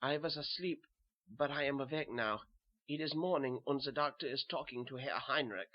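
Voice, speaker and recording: A man's voice: mid-range voice, normal speed, lo-fi sound, lightly acted. A man playing a weary, haunted German soldier who narrates a horror tale in simple short sentences, with a German accent. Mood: subdued. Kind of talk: first-person horror audio narration.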